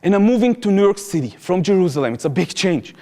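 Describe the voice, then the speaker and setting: A young Israeli man with a fairly high voice addresses a crowd. He talks with earnest, urgent passion. A long reverb from the lecture hall trails his words. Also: expressive, quick pace, warm tone. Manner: earnest, impassioned, urgent appeals to the audience. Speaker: activist speaker. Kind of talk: public talk, personal storytelling.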